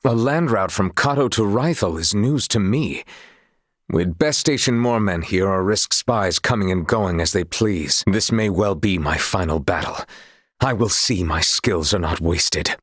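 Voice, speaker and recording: A man voicing an earnest, honorable young knight in a video game, speaking in formal, courtly, old-fashioned phrasing with a husky voice. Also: on the low side, animated, normal speed, dry recording, studio quality. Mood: grave, determined.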